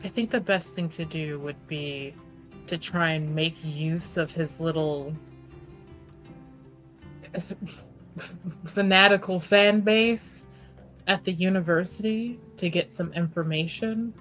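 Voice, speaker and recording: A woman with a slightly low voice talks slowly as a player in a tabletop game. She muses out loud in a casual way, working through her choices over background music. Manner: musing, thinking out loud.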